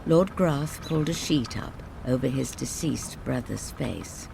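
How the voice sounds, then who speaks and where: A storyteller narrating a scripted bedtime fairy tale in measured, dramatic phrases. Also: animated, darkish tone. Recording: clear rain and rumble noise behind. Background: rain ambience.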